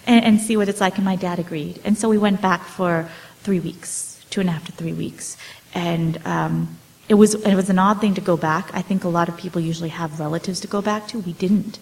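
Woman author, forties, slightly low voice, halting, many ums and restarts. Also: gravelly, low-quality recording. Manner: hesitant, many fillers and restarts. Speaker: author answering audience questions. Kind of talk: casual storytelling talk.

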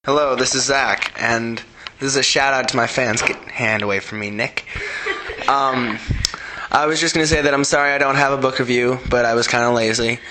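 A man's voice: medium pitch, fairly even intonation, a little fast, noisy background. A teenage boy speaking casually and off the cuff on a podcast. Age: teen. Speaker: teen podcast contributor. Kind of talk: casual unscripted shout-out.

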